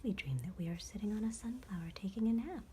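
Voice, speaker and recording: A woman voicing contented, routine-bound butterflies in a measured whisper for an ASMR bedtime storybook. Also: medium pitch, lively intonation, dark tone, a little hiss or hum, voice pushed up, close to an everyday voice. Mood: placid, content. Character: contented, set-in-their-ways butterflies, gentle and routine-bound.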